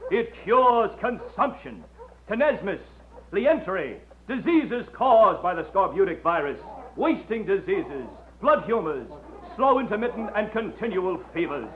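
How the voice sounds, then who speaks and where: An actor in an old radio drama playing a showy quack doctor with a grandiloquent sales pitch. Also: male voice, very animated, a little slow. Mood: grandiose, persuasive. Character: showy male medicine-show huckster, grandiloquent quack doctor. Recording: lo-fi old radio recording, crowd behind.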